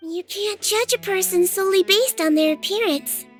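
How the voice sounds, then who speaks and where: A woman voicing a small, gentle, earnest head nurse in a video game, with a sweet girlish voice and measured manner. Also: very high voice, faint music behind. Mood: inquiring.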